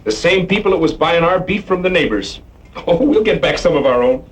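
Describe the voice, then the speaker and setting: An actor playing a cheerful, sly cowboy in an old western film, talking in an easygoing folksy way. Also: male voice, on the high side, lively intonation, fairly quick, natural voice. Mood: pleased, sly. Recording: distant, faintly noisy old film soundtrack.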